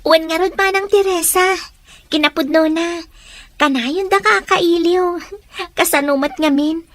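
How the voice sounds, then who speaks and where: A woman's voice: extremely high pitch, a little slow, fairly bright tone, no echo. An actress playing an earnest, polite young woman in a radio drama, with faint music behind.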